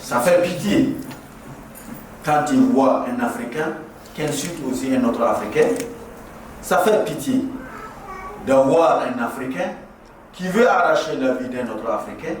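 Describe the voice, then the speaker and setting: A man's voice: medium pitch, animated, unhurried, long pauses, slight background noise. A middle-aged man from Burkina Faso, giving an impassioned ceremonial speech at a mosque inauguration and driving his points home through repetition. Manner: impassioned, preaching with rhetorical repetition. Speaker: guest speaker at a mosque inauguration. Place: large echoing prayer hall. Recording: very echoey hall, voice far from the mic.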